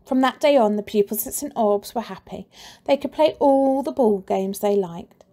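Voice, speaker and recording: A woman teacher reading a children's storybook aloud with lively expression and playful sound effects. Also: on the high side, normal speed.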